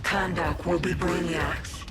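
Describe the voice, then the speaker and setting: Low, slow, ruthless villainess. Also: female voice, fairly even intonation. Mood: cold, triumphant. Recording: loud music under game dialogue.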